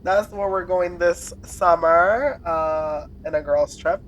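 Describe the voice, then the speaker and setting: A woman's voice: on the low side, takes it slowly, poor audio. A woman co-hosting a podcast in casual chat, playfully quizzing and teasing her co-host and laughing often.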